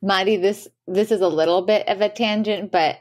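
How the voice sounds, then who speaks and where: An enthusiastic woman co-hosting a podcast in easy, casual conversation. Her voice sits at a middle pitch. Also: steady tone, moderate pace, darkish tone. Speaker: podcast co-host.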